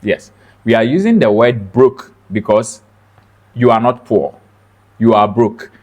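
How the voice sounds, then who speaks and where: A man's voice: medium pitch, a little slow, darkish tone, lo-fi sound. A man in a casual discussion, speaking emphatically and repeating phrases to drive points home. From Africa. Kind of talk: casual two-person discussion.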